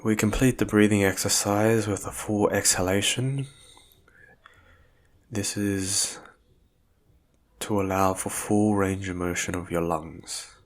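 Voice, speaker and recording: Slow, low-voiced man guiding meditation. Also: fairly even intonation. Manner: measured, soothing, phrase-by-phrase delivery. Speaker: physiotherapist guiding a breathing exercise. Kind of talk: guided meditation, calm instructional narration.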